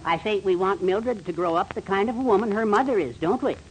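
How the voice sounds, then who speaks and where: A man's voice: high voice, fairly quick, crowd noise, lightly acted. Meek middle-aged husband, acted by a man, hesitant, wistful, old radio sitcom, faint background noise. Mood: confiding. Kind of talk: 1940s radio comedy acting. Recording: faintly noisy 1940s radio recording.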